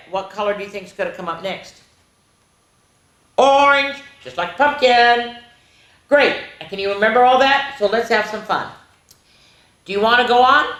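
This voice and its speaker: A woman hosting a children's story time, reading a picture book aloud in an animated, sing-song, question-asking way. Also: on the high side. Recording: roomy, echoey indoor sound.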